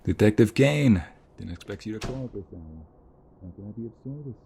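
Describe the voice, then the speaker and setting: A man playing a protective, flirtatious mobster husband in an ASMR roleplay, gravelly and husky, coaxing his partner with affection. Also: on the low side, a little fast, dark tone, a little hiss or hum. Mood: guarded, polite.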